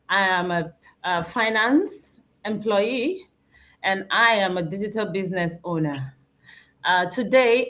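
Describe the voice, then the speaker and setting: Slow, earnest woman hosting a live stream. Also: medium pitch, a bit bright.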